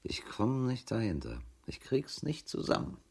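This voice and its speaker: An actor in a radio crime drama playing a sardonic, world-weary police chief in his fifties with a husky voice, grumbling as he talks. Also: medium pitch, wildly swooping pitch, unhurried, a little mellow, exaggerated voice. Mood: agitated, exasperated. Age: 50s.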